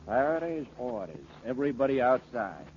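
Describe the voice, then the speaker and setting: A man's voice: medium pitch, big pitch swings, normal speed, darkish tone, natural voice. An actor playing a brusque policeman who curtly gives orders, in 1940s radio style. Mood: bossy. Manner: curt, barking orders. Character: bossy, brusque policeman taking charge. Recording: muffled, noisy 1940s radio recording.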